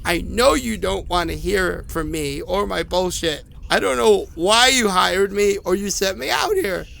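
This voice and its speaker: A man's voice: high voice, measured pace, gravelly, fairly bright tone, exaggerated voice. An actor playing a sick, rattled, apologetic man who rambles hesitantly through phone messages in a horror audio drama. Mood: bitter, apologetic.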